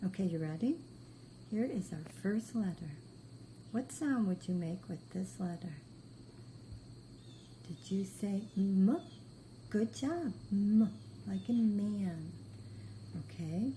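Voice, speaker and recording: A woman with a very mellow, dark tone leads a beginner phonics lesson at a slow pace. She gives short, patient instructions and repeats her praise often. There is some faint noise in the recording. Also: medium pitch, animated. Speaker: reading teacher.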